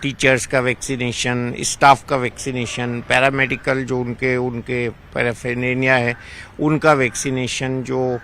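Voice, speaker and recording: A man's voice: mid-range voice, level tone, a little slow, fairly bright tone. A man, a doctor, explaining his advice in a news interview. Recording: noisy location interview, faint ambience.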